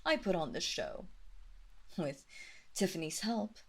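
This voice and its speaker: Slow, low-voiced vampire mistress, acted by a woman. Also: animated, full character voice. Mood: cold, menacing. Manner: slow, commanding, deliberate, with drawn-out words and breathy whispers. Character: haughty, seductive female vampire lord, mistress of a great house. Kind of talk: roleplay audio drama acting.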